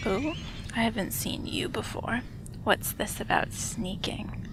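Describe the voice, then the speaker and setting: A woman playing an impish witch in a fantasy audio drama, softly spoken, teasing and unhurried. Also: fairly high pitch, a little hiss or hum, lightly acted, poor audio. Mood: amused, sly. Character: impish, unconcerned witch hag with an unsettling amusement.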